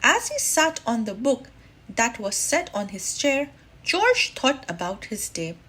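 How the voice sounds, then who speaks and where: A woman reading a children's story aloud, deliberately and expressively, with drawn-out emphasis. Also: on the high side, huge pitch swings, fairly bright tone, husky.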